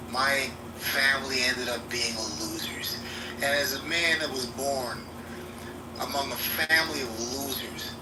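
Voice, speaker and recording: Profane, provocative man in a noisy echo. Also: medium pitch. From New York City. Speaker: debate participant. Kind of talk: heated voice-chat debate. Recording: echoey, distant, noisy playback.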